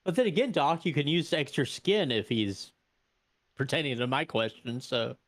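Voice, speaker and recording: A man's voice: medium pitch, normal speed, dry recording. A man playing a tabletop role-playing game, improvising conversationally and reasoning things out aloud. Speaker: tabletop role-play player.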